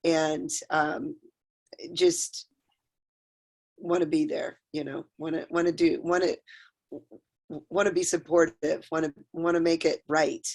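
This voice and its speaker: Low-voiced woman on a video call, earnest, kinda halting. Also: animated, gravelly. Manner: earnest, halting, repeats words. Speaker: workshop participant. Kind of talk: heartfelt comments on a video call.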